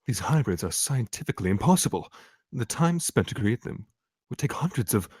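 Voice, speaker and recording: A man's voice: medium pitch, sing-song, a little fast, a little mellow, breathy edge. A man at a live table read playing a sardonic rogue with a dry manner. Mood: fascinated.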